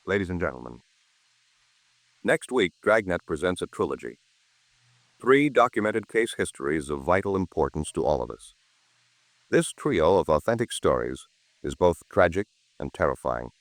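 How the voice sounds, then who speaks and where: Male 1950s American radio announcer, very low voice, formal and emphatic, swooping intonation, music behind. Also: very animated, moderate pace, pauses often, dry recording. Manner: formal, dramatic, emphatic delivery. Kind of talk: 1950s American radio crime drama announcing and sponsor reads. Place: radio studio.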